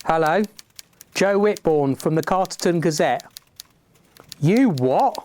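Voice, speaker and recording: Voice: mid-range voice, animated, slow, a little mellow, slight background noise. A performer playing a brusque, condescending 1970s newspaper boss in an improvised community radio drama. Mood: brisk. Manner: curt, bossy, condescending.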